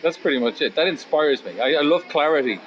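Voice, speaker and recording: A man of fifty, a musician chatting casually and philosophically in an interview, blunt and sweary, rambling into animated asides. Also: fairly high pitch, fast, low-quality recording. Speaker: musician and guitar teacher being interviewed.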